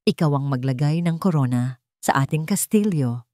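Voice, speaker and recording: A woman voicing a gentle, loving young housemaid in a story, soft-spoken and encouraging as she coaxes a small child. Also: low voice, animated, moderate pace, no room sound, clean studio sound, voice pushed down. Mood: tender, sweet.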